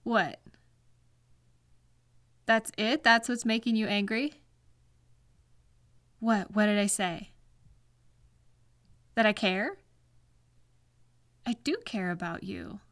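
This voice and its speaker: A woman playing a caring, patient girlfriend in a soft-spoken ASMR roleplay, speaking gently and reassuringly to comfort a partner. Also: medium pitch, no room sound, studio quality. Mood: playfully puzzled, then tender, affectionate.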